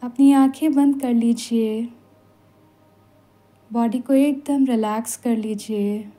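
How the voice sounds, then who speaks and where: Slow, mellow Indian woman guiding meditation. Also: a little high, steady tone. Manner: soothing, unhurried instructions with long pauses. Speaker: yoga and meditation instructor. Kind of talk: guided meditation in Hindi with some English phrases. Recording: voice over background music. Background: meditation music.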